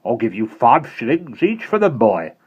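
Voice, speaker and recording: A man reading aloud voices a stern, suspicious woman who runs a school, sharp and commanding. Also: medium pitch, big pitch swings, moderate pace, husky, voice pushed up. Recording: dull, lo-fi recording.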